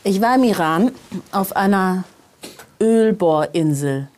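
A middle-aged woman talks casually as the guest in an interview. Her delivery is animated and emphatic, with a conversational storytelling feel. Her voice sits at an average female pitch. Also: takes it slowly. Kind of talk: casual interview chat, personal anecdotes.

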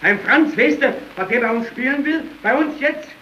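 An actor playing a coarse, pushy Berlin crook in an old German radio drama, taunting in local slang. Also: male voice, very high-pitched, lively intonation, moderate pace, sharp tone, highs cut off, heavily acted. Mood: mocking, coaxing. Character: coarse, pushy Berlin street crook accosting a young woman. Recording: old hissy, echoey archive radio recording.

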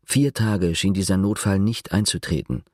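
An actor as the narrator of a literary radio drama, retelling another man's confession with measured, detached irony and a husky voice. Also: sits low, a little mellow, dry recording, studio quality. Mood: dryly ironic.

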